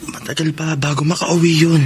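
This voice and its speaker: A man's voice: fairly high pitch, little pitch movement, brisk, a little mellow, slight background noise, lightly acted. A man with a Filipino accent playing a wheedling, cocky gambler and secret lover in a radio drama. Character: smooth-talking scheming gambler, younger lover of older woman.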